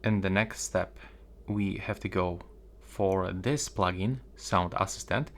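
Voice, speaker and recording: A man's voice: low voice, takes it slowly. A man presenting a step-by-step phone tutorial.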